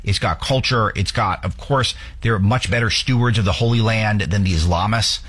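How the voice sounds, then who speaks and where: A man's voice: lowish voice, normal speed, fairly bright tone, breathy edge. A man from the United States hosting a talk show, giving opinionated political commentary with earnest, stumbling restarts.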